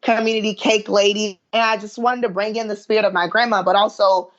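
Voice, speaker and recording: A woman's voice: mid-range voice, little pitch movement, fairly quick. Southern woman with a muffled sound. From American South. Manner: chatty, many fillers, proud. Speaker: guest, body image coach. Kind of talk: remote podcast interview.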